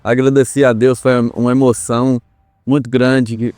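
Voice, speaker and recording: A man's voice: mid-range voice, level tone, moderate pace, a little mellow, dry recording. Brazilian guy on a podcast telling his story, reflective, dry sound w faint music. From Brazil, small town. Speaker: podcast guest. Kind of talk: personal storytelling in a podcast interview.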